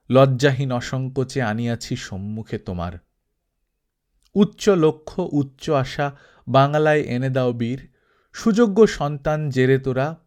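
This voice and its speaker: A man's voice: medium pitch, darkish tone. A man voicing a shy, earnest teenage college student in an audiobook, speaking politely and with hesitation. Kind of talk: audiobook character reading.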